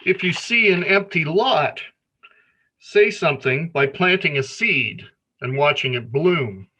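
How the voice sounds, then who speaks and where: A man reading a children's picture book aloud in an expressive storytelling manner. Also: fairly high pitch, unhurried, muffled recording. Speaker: story reader.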